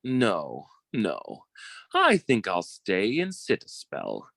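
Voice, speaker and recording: An actor playing a scheming, bullying yet cowardly suitor in an old-time radio drama, theatrical and given to stammering when flustered. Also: male voice, fairly low pitch, wildly swooping pitch, takes it slowly, vocal fry, a bit bright, no room sound, studio quality, exaggerated voice. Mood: menacing scorn.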